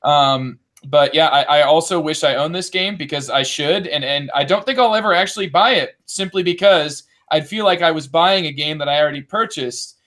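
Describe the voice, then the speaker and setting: A man's voice: on the high side, moderate pace, hardly any pauses, a bit bright. A man chatting casually about board games in a hesitant, wordy way that circles back on itself. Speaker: podcast panelist.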